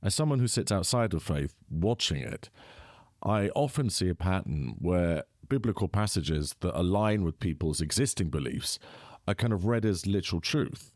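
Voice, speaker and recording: A man hosting a podcast, reading a scripted introduction and then asking measured interview questions. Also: low-pitched, animated, darkish tone, no room sound, clean studio sound. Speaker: podcast host.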